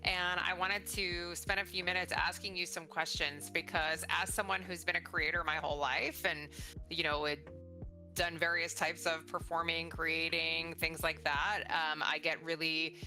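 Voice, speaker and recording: A woman's voice: sits low, level tone, normal speed, bright, forceful tone. A middle-aged woman hosting a casual conversational interview in an enthusiastic, encouraging way. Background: faint music.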